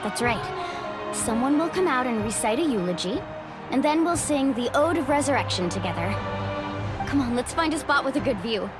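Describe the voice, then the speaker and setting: A woman's voice: sits high, animated, normal speed, music in the background. A woman voicing a spirited young woman in a game, friendly and guiding, fierce when her friends are insulted. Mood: cheerful. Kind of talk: game voice acting.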